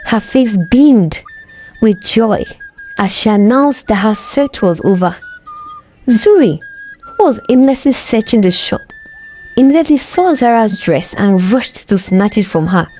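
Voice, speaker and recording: A woman narrating a scripted folktale in a dramatic, deliberate storytelling manner. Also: a little high, lively intonation, dark tone, soft background music, low-quality recording.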